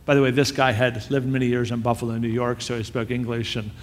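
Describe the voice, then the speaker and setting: A man's voice: mid-range voice, quick pace, a little hiss or hum. Man telling a story, hall reverb. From United States. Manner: animated, self-deprecating storyteller. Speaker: missionary speaker. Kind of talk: storytelling sermon.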